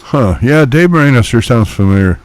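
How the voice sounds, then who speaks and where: A man's voice: mid-range voice, lively intonation, a little fast, a little hiss or hum. A man in casual radio chat, telling stories animatedly with loose, mildly profane slang. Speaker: musician chatting on CB radio.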